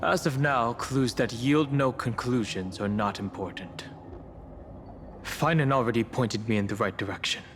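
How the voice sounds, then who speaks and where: A man's voice: mid-range voice, moderate pace, a bit bright, a little hiss or hum, natural voice. A man voicing a resolute young lord in a video game, curt and matter-of-fact. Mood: cool, determined. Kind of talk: dramatic video game voice acting.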